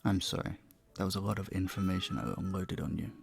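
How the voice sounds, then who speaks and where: Man playing a low, creaky-voiced vampire bartender. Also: quick pace, soft background music. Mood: apologetic, grateful. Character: earnest, courteous, flirtatious male vampire bartender. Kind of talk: intimate scripted audio roleplay.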